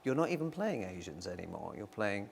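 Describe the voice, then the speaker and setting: A man interviewing a guest, asking measured questions and backing him up with steady affirmations. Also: lowish voice, creaky, a little hiss or hum. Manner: measured, affirming, frequent "mm-hmm" backchannels. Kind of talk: conversational interview.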